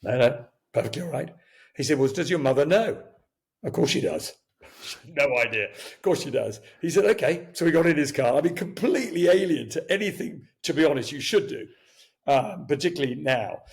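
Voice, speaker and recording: An older man raised in Kenya whose first language was Swahili, telling lively stories as an interview guest, chuckling and restarting sentences. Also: fairly high pitch, moderate pace, vocal fry. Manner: animated, chuckling, many restarts. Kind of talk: storytelling interview talk.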